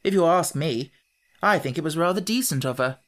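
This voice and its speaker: A narrator voicing a fair-minded schoolboy with a measured, reasonable manner. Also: medium pitch, animated, fairly bright tone, lightly acted.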